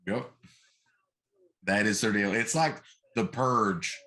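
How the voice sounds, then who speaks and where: A man's voice: fairly low pitch, slow, pushed and bright. Opinionated middle-aged man. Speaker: talk show co-host. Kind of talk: casual chat about films.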